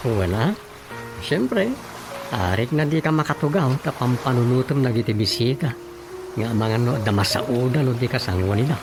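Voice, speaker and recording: Practical, inquisitive village councilman played by a man, Ilocano accent, medium pitch, conversational radio comedy, clear music bed. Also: animated, normal speed, close to an everyday voice. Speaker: drama actor.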